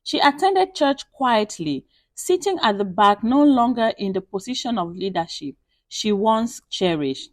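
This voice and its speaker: A woman telling a dramatic scripted story with expressive, deliberate phrasing. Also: fairly high pitch, dry recording. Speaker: storyteller and channel host.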